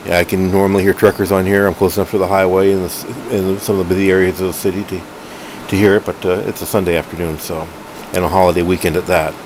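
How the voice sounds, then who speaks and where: A middle-aged man with a fairly low voice gives a casual hobby demo. He talks in a halting, rambling way, often correcting himself. A clear background hum runs under his voice in a room at home. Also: level tone. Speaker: hobbyist collector reviewing a radio. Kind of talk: casual unscripted product demo.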